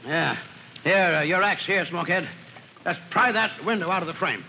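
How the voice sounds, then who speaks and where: Fire captain, male, high voice, brisk and bossy, old radio drama; muffled, noisy 1940s recording. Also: bright, forceful tone, heavily acted. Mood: brisk, commanding. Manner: barks short practical orders. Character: commanding fire captain, brisk and gruff, adult man.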